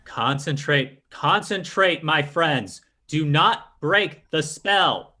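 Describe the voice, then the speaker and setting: A man playing a solemn, theatrical spirit medium in old-time radio style, speaking in deliberate incantations. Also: on the high side, lively intonation, bright, forceful tone, exaggerated voice. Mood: tense, insistent. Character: solemn, mystical male séance medium, theatrical.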